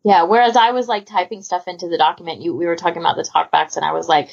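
A woman's voice: fairly low pitch, fairly even intonation, fast talker, creaky, slightly rough. A woman chatting casually as a podcast co-host, in an emphatic, rambling way. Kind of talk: casual chat. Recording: dull lo-fi voice recording.

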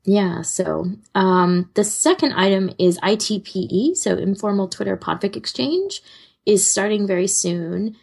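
A woman co-hosting a podcast in casual chat, with an enthusiastic manner and a husky voice. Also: mid-range voice, expressive, measured pace, a little mellow. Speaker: podcast co-host.

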